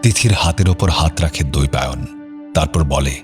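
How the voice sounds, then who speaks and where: A man narrating a scripted dramatic audio story in a measured, suspenseful manner. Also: extremely low pitch. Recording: prominent music bed under narration.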